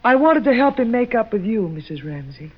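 Defensive young woman in an old radio play, high voice, pleading, dull recording. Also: very animated, fairly quick, slightly rough. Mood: confessing. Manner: earnest, pleading, proper. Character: earnest, defensive young female murder suspect, lovelorn. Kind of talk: old-time radio drama acting.

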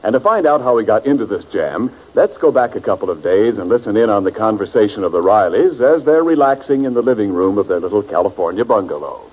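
A man's voice: on the low side, big pitch swings, fast talker, few pauses, breathy edge. A man announcing and narrating a scripted 1940s radio comedy show in period broadcast style. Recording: dull 1940s radio recording.

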